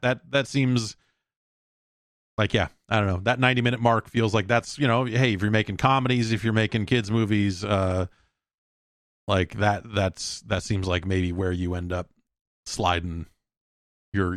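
A middle-aged man hosting a podcast, giving casual solo commentary on news in a rambling, halting way with repeated words. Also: mid-range voice, a bit bright, dry recording, studio quality. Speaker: podcast host.

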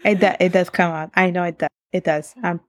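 Italian-accented woman, low flat husky voice. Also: brisk, no echo, clean studio sound. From Italy. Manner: reflective, laughs often, self-correcting restarts. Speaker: guest. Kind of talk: casual interview talk.

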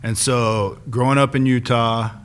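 A man's voice: medium pitch, flat delivery, measured pace, clear, resonant tone. A man hosting a podcast interview, laid-back and encouraging with short replies. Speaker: podcast host. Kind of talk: casual interview.

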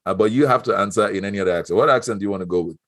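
A man's voice: lowish voice, very fast, a bit bright. A man from Nigeria with an Igbo accent, hosting a casual interview in a playful, teasing way with frequent laughter. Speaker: podcast host.

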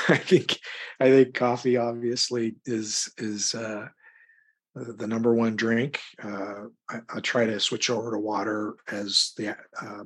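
A middle-aged man with a husky voice giving casual interview answers, thinking aloud in rambling, broken phrases. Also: fairly low pitch, a bit bright. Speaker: interview guest, crime novelist.

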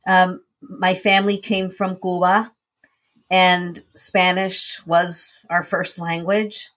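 A woman with a fairly low voice speaks in a relaxed interview. She tells her own story in an earnest, reflective way, with her pitch sitting a little under the usual. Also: takes it slowly. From United States. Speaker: guest, nonprofit founder and CEO. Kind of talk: conversational interview.

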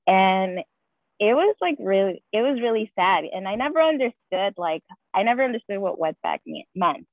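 A woman's voice: medium pitch, animated, normal speed, no room sound. A woman whose first language is Spanish, a guest nurse chatting casually with lots of fillers. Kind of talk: casual conversation. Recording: tinny phone-like call audio, dry.

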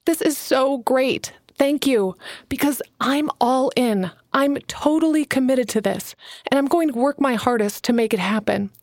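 A woman's voice: sits high, unhurried, a little mellow, no echo, clean studio sound, voice pushed up. A woman reading an audiobook, voicing an eager, earnest young woman writer who pleads and bristles. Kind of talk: audiobook character voice.

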